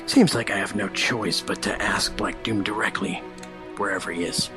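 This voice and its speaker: A man's voice: lowish voice, steady tone, normal speed, music in the background, close to an everyday voice. A man playing a stiff, brooding cartoon hedgehog antihero, stern and dry, in a fan fiction reading.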